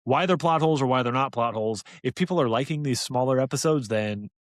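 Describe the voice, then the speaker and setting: A man's voice: medium pitch, fast, dry recording, studio quality. Casual male podcast host. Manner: informal, jokey banter.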